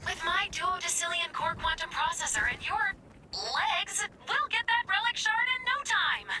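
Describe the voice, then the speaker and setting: A woman's voice: extremely high pitch, animated, normal speed, distant mic. A woman voicing an intense, devoted young female robot assistant in a video game, childlike and melodramatic, turning from pleading to menacing. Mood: earnest, intense. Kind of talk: video game character voice acting. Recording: loud music behind the voice.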